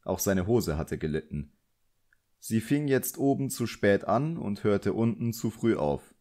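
Low-voiced male audiobook narrator. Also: dark tone. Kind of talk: scripted novel narration.

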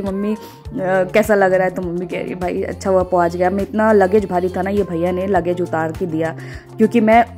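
A young woman narrates her travel vlog in an informal, chatty style. Her voice sits at an ordinary female pitch and speaks straight to the viewers. Music plays behind her. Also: mellow. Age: 20s. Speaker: travel vlogger. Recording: music under the voice. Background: background music.

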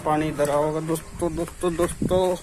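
A man's voice: fairly high pitch, little pitch movement, normal speed, rough recording. A young man giving a casual walking tour vlog of his village farmland, chatting to viewers as friends. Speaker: village vlogger. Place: outdoors on farmland by a pond.

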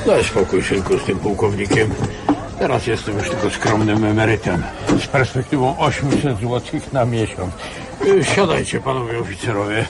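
Actor as gravelly, wry old retired colonel. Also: male voice, lowish voice, moderate pace, very noisy. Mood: weary, wry. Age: older. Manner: wry, condescending. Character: retired secret-service colonel, old man, wry, condescending, guarded. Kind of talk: radio drama acting.

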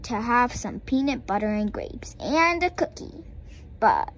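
A young girl reading a picture storybook aloud in an expressive, halting way. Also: a little high, slight background noise, poor audio. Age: young child. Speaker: reader.

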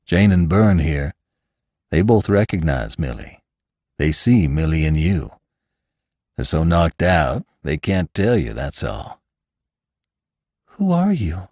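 A gentle older Western gunman speaks in a deep, soft, rich drawl, slow and folksy, as a male reader puts him on. The sound is close and dry, like a storybook reading. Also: sing-song, no room sound, full character voice. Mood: warm.

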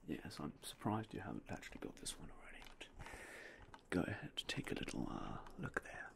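A young man whispering in a polite, unhurried way as a game store clerk in an ASMR roleplay, close to a binaural mic. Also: sits low, very animated, gravelly. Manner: soft, polite, unhurried shopkeeper talk with fillers. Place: indoors in front of a game store backdrop. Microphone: binaural mic close to the face.

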